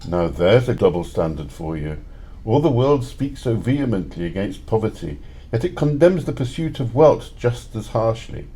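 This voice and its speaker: A man's voice: fairly low pitch, big pitch swings, moderate pace, mellow. A man acting as a miserly, fearful man in an amateur audio play, theatrical and imploring. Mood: defensive.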